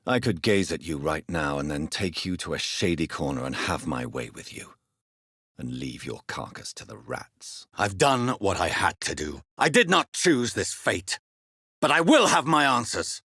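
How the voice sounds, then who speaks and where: A man with a British accent playing a grave, formal gentleman vampire doctor in a dramatic video game, husky-voiced and precise in his diction. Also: low-pitched, sing-song, moderate pace, no room sound, studio quality, full character voice. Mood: stern, menacing, then grave, firm.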